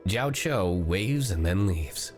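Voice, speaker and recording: A man's voice: deep voice, lively intonation, slow, faint music behind. A man livestreaming a video game with exclamatory, mock-confrontational, sweary reactions. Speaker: livestreamer, VTuber. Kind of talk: live game stream reaction commentary.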